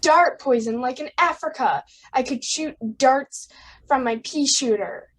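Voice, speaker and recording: A woman's voice: high-pitched, measured pace. A woman voicing a bratty, defiant little boy in a radio play, whiny and demanding. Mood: eager, pushy.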